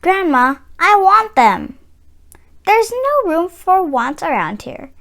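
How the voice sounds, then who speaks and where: A woman with a high-pitched voice reads a storybook aloud for children. She takes her time over each phrase, swinging widely up and down in pitch. Her delivery is deliberate and expressive. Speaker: children's read-aloud channel host. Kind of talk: slow, expressive picture-book read-aloud for children.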